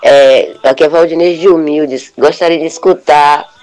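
A man's voice: on the high side, fairly even intonation, normal speed, darkish tone, rough recording. A man greeting a radio show informally, offering affectionate religious blessings. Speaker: listener calling a radio show. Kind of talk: informal greeting and song request.

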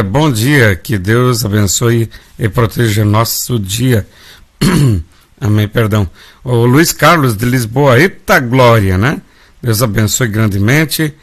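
A Brazilian man hosting a live gospel radio show, folksy and calling his listeners family. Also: mid-range voice. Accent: Brazilian Portuguese. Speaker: radio host.

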